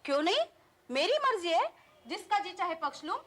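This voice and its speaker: An actress playing an indignant, sharp-tongued wife, theatrical and scolding in a broad sitcom style. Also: female voice, very high voice, sing-song, fairly quick. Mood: defiant. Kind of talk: broad Indian TV sitcom acting.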